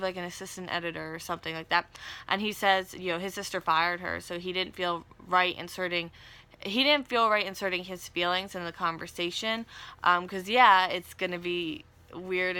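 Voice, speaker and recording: A woman's voice: lowish voice, sharp tone, clean tone. A woman hosting a casual, chatty TV soap recap, with wry asides and slangy, conversational paraphrasing. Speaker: video recap host.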